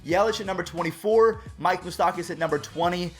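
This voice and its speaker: A man hosting a baseball channel in an upbeat, chatty way, talking straight to his viewers, his voice a bit raspy and hoarse from a cold. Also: high voice, fairly quick, a bit bright, faint music behind. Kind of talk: casual vlog commentary.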